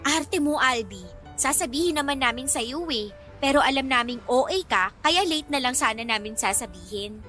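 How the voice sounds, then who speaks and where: A playful young woman in love in a radio romance. Her voice is high and bright. Faint music runs behind her. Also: lively intonation, normal speed. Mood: teasing. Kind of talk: radio romance drama acting.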